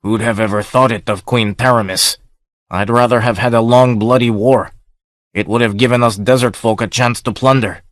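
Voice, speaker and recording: A man's voice: medium pitch, steady tone, normal speed, fairly bright tone, dry recording, lightly acted. A man voicing a hard, scornful outlaw chief who speaks coldly and gives orders. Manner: cold and commanding.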